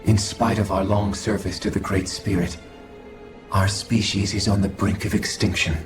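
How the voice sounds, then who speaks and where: A man voicing a coldly logical, formal alien scholar in a video game cutscene, speaking in a measured, clinical way. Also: lowish voice, flat delivery, close to an everyday voice. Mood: calm, formal. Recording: echoing voice over game music.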